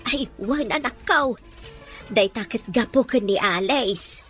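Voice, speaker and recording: A woman's voice: on the high side, measured pace, soft background music, natural voice. An actress playing an ailing, affectionate elderly mother in a Filipino radio drama. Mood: loving.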